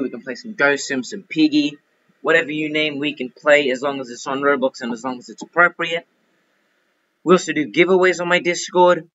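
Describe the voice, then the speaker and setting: A man's voice: mid-range voice, bright, forceful tone, lo-fi sound. A man giving casual, informal gameplay commentary as the host of a gaming video, recorded at a computer.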